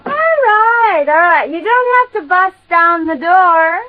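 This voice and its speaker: A woman's voice: very high voice, animated, slow, heavily acted. An actress playing a gullible, tipsy gangster's girlfriend in a 1950s radio detective drama, slangy and easily flustered. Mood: brash, tipsy. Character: tipsy, gullible blonde moll, frightened of her boyfriend. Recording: dull, band-limited old radio recording.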